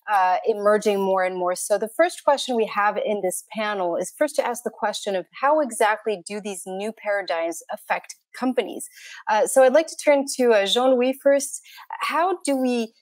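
A woman's voice: mid-range voice, no echo. Dry, close-sounding woman moderating a panel. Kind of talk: hosting a panel discussion. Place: indoor room with curtain, video call. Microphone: wired earphones mic.

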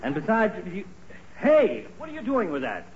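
Casting: pompous, mock-courteous doctor, fairly high voice, 1940s radio comedy, muffled sound. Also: male voice, huge pitch swings, moderate pace, darkish tone. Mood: dry, needling. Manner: dry, wordy, mock-courteous. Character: sardonic, blustery, pompous male family doctor.

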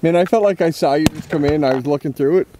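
A man's voice: fairly high pitch, quick pace. A man, a target shooter, chatting casually about shots on an outdoor rifle range. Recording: clear outdoor noise and clicks.

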